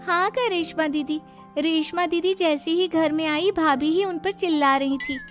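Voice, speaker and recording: A woman's voice: extremely high pitch, measured pace, soft background music. A woman playing a young sister in a family story, speaking up earnestly.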